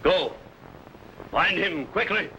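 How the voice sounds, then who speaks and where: A man's voice: on the high side, expressive, slow, bright, forceful tone, lo-fi sound, heavily acted. A fierce Hun warlord king. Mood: commanding shouts. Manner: barking harsh orders, menacing. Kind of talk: 1950s radio drama acting. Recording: hissy old radio broadcast recording.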